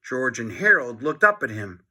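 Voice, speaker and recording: A man reading a children's book aloud in an expressive, dramatic narration, with asides to young listeners. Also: mid-range voice, moderate pace.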